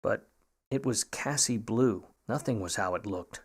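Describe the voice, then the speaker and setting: An actor playing a wry, slangy, smooth-talking private eye in an old-time radio noir drama. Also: male voice, on the low side, unhurried. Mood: brooding, wry narration. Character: grudging, smooth-talking hardboiled 1950 Hollywood private eye.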